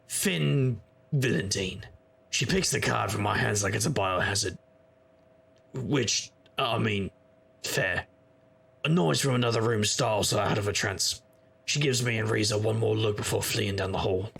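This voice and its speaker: An actor playing a sardonic, world-weary private investigator, narrating and talking in a dry deadpan noir style. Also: male voice, lowish voice, measured pace, creaky, breathy edge, exaggerated voice. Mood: wry, tense. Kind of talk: noir audio drama, first-person narration and dialogue.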